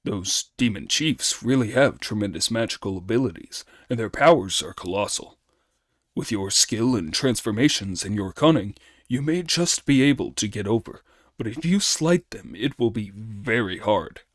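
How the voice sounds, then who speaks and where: A man acts out an old celestial messenger from a storybook. The voice is fairly low and dryly recorded, with a courteous, earnest manner and a deferential, apologetic air. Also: expressive, moderate pace, dry recording, clean studio sound. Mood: earnest warning. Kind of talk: storybook character dialogue.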